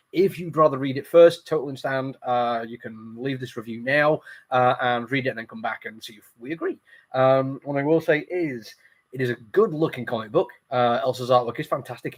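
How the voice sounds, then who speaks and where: Sniffly man hosting a casual review. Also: mid-range voice. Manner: apologetic, rambling, conversational. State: congested, sniffly with a cold. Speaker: comic book review host.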